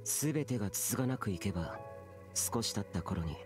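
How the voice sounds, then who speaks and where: A man playing an aloof, youthful immortal warrior in an anime game, speaking gravely and curtly. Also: on the low side, lively intonation, a little fast, close to an everyday voice. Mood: solemn, instructive. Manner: curt, grave, stern. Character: solemn, aloof immortal warrior guardian, young-looking man. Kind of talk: Japanese anime game voice acting. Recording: game music behind.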